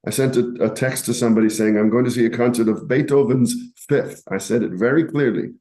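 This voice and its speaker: A man's voice: medium pitch, fast talker, warm tone. Guy in the cast, mid voice, wry and teasing, tells a funny story. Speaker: cast member and chorus singer. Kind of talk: casual group discussion.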